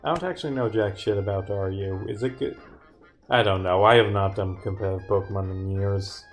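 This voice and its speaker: A man's voice: fairly low pitch, a little fast. A male game developer talks casually on a livestream, half to himself. He mutters, exclaims and swears freely as he works, with faint game music under his voice. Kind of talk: casual livestream commentary while bugfixing, reading dialogue aloud.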